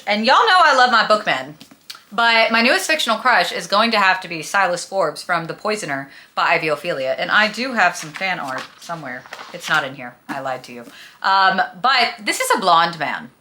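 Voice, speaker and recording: Bright forceful lady doing a chatty book vlog, super dramatic n gushy, slangy. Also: mid-range voice, sing-song, moderate pace. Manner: gushing, dramatic, emphatic, slangy. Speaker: book vlogger.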